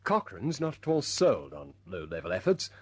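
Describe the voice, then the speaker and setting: An actor playing an earnest, good-humoured RAF squadron leader in a vintage radio drama. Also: male voice, low voice, wildly swooping pitch, moderate pace, breathy edge, heavily acted. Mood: confiding, wry. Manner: hesitant stammers when pressing a point, otherwise commanding.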